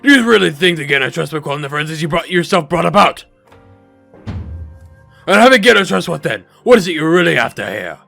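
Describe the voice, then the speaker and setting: A man's voice: a little high, very animated, brisk, creaky, sharp tone, soft background music, exaggerated voice. A man playing a stern, proud military commander, formal and severe. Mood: accusing.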